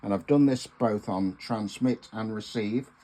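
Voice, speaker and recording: A man in his sixties talks through a hands-on hobby demonstration. His voice sits a little low and he speaks very slowly. He is halting and deliberate, often pausing to find the next word. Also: dark tone. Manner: halting, deliberate, with frequent pauses and hesitations. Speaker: amateur radio hobbyist presenting a video. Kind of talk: informal hands-on demonstration talk.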